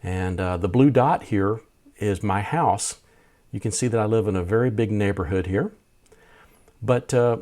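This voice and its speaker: A man with a somewhat low voice narrates a casual hobby vlog. He explains things methodically in plain, everyday language, as a host talking to viewers. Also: darkish tone. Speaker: amateur radio video host.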